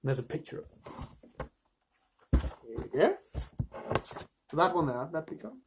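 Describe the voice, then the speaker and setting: A man reading a children's story aloud as an expressive storyteller, with casual asides to listeners. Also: fairly high pitch, a little slow, background noise.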